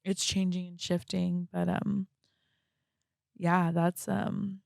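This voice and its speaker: A young woman talking reflectively and casually as a podcast guest, halting often and peppering her speech with "like". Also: lowish voice, level tone, vocal fry, a little mellow, no room sound, studio quality. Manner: halting, frequent "like" as verbal filler.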